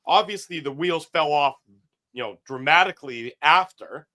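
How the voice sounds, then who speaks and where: A man's voice: on the high side, animated, moderate pace, pushed and bright. A man co-hosting a sports talk show, who keeps interrupting with probing questions. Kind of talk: casual sports chat.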